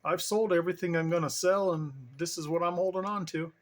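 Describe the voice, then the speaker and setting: A man's voice: high-pitched, fairly even intonation, a little fast. A middle-aged man giving a casual show-and-tell in a rambling, informal, enthusiast's way, like a hobby vlogger. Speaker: hobbyist vlogger showing his radio gear.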